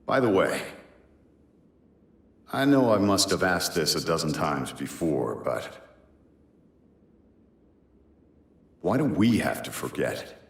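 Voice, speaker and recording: An actor playing a gruff, weary, rebellious warrior with a soft spot in a video game, gravelly and terse. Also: male voice, extremely low pitch, sing-song, heavily acted. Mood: skeptical. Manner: terse, unhurried, understated. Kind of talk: cinematic video game acting.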